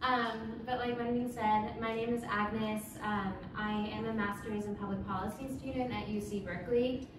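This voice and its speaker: A woman's voice: fairly high pitch, steady tone, moderate pace. Nervous young woman speaking in an echoey hall. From Los Angeles, California. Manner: earnest, a little nervous. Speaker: guest speaker. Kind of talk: public talk. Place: event hall. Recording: echoey hall, from far off, background noise.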